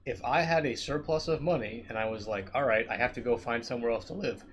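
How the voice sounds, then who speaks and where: A man's voice: mid-range voice, fast, husky. A man co-hosting a casual podcast, explaining his views emphatically and swearing often. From New York. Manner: emphatic, profane, explains a theory at length. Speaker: podcast co-host. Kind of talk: casual chat.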